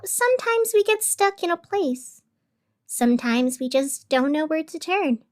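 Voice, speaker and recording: Woman acting high-voiced, emotional female radio host. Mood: soothing, gentle. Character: female radio host, wounded, fiery, turning soothing on air. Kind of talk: audio drama acting.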